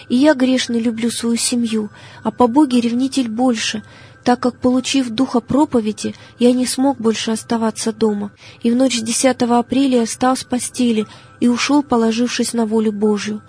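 Woman reading as a husky, devout young preacher. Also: on the high side, darkish tone. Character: devout, defiant young male peasant preacher.

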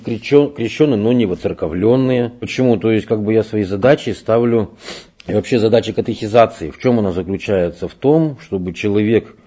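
Low, dark, husky-voiced man explaining. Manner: unhurried, explanatory, many hesitations. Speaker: church catechist. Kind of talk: interview answers. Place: parish room.

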